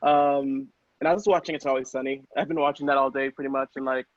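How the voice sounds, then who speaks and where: A man's voice: mid-range voice, fairly even intonation, quick pace, no room sound. A man in loose, informal casual chat on a podcast. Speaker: podcast cast member. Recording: muffled, dull lo-fi podcast audio.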